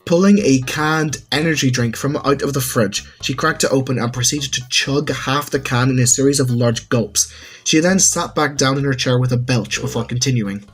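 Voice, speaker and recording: A man's voice: mid-range voice, normal speed, slightly rough. A man reading a scripted story as its narrator. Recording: music under the voice. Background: background music.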